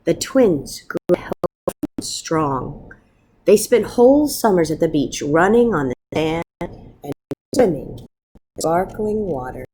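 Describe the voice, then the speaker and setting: A woman reading a children's story aloud in an expressive, dramatic manner with measured pauses. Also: on the low side, slightly rough, low-quality recording. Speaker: storybook reader.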